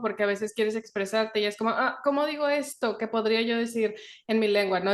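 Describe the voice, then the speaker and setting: A woman hosting an interview, agreeing conversationally and asking follow-up questions. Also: fairly high pitch. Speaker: host. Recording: echoey room.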